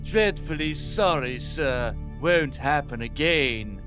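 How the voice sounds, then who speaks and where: Man doing a high-pitched, slow, fussy old man. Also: expressive, muffled recording, over music. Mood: fussy, irritated. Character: grumpy, fussy prematurely aged old man.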